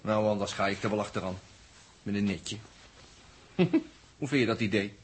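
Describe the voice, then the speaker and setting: A man's voice: on the low side, moderate pace, husky. An actor playing a blunt, wisecracking spaceship crewman in a 1960s Dutch radio drama, with a colloquial, jaunty manner. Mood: jaunty, dry.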